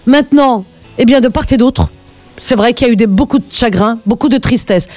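A woman's voice: on the high side, brisk, darkish tone, faint music behind. A woman hosting a live radio call-in, speaking in an earnest, emphatic way and repeating phrases for emphasis.

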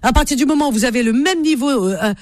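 A woman hosts a call-in radio show, hammering her points home with repetition. Her voice sits very high and sounds dry and close, without any room around it. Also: fast talker, darkish tone, dry recording. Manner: insistent, lecturing, repeats points.

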